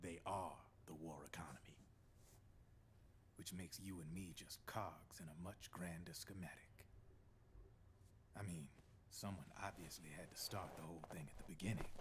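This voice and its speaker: Man acting a low-voiced cynical gun launderer. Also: steady tone, moderate pace, pauses often, lightly acted. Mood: grave, knowing. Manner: folksy, slangy, knowing. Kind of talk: video game cutscene exposition. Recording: noisy game audio captured on stream.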